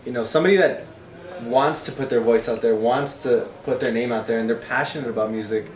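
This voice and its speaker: Host: man, mid-pitched, rambling, encouraging, a bit off-mic in an echoey room. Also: fairly quick, recorded from a distance. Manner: encouraging, loose rambling questions. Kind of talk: casual interview chat.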